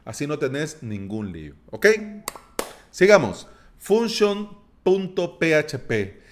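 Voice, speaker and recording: Guy, kinda high voice, chill playful podcast teacher. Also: big pitch swings, takes it slowly, a little hiss or hum. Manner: playful, chatty explainer with jokey asides. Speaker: podcast host. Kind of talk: solo tutorial podcast.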